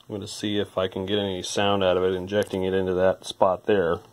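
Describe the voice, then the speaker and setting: A man with a fairly low voice talks through hands-on repair work at an indoor workbench. He sounds like a hobbyist, informal and musing aloud as he goes. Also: monotone, fairly quick, low-quality recording. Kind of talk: casual hands-on repair commentary.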